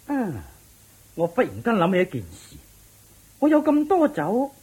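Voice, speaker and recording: A woman's voice: a little high, huge pitch swings, takes it slowly, a little mellow, slightly rough, exaggerated voice. A woman voicing a smug, wealthy male master of the house in a radio drama, chuckling and ordering his servants about. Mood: boastful. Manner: smug, self-satisfied, chuckling, commanding servants.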